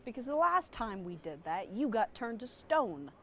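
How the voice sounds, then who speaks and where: Sardonic halfling woman bard, higher-pitched, very lively intonation, theatrical fantasy audio drama storyteller. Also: moderate pace, exaggerated voice. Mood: wry, teasing. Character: wry, quick-witted halfling woman, adventuring bard and storyteller.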